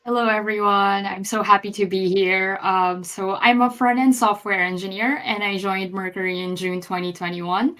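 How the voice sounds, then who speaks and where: A woman from the Philippines, a front-end software engineer, giving an upbeat, chatty self-introduction at an online panel. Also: medium pitch, fairly even intonation, moderate pace, few pauses.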